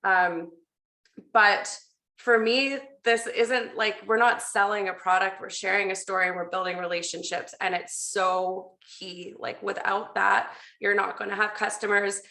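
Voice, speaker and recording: Woman, bright voice, medium pitch, upbeat, chatty, casual host. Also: fairly even intonation, a little slow, gravelly. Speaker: host of a team video call. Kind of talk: casual conversational talk.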